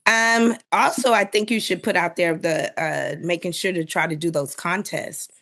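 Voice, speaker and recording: Woman, middle-aged, lowish voice, frank and emphatic, chatting as a panel guest. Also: moderate pace, a bit bright. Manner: blunt, emphatic. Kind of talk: casual panel discussion.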